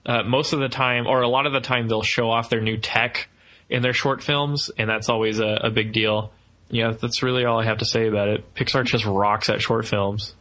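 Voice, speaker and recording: Young male podcast co-host, bright forward tone, mid pitch, casual, thinks aloud mid-sentence. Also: steady tone, normal speed. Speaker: podcast co-host, animation student. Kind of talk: casual film review chat.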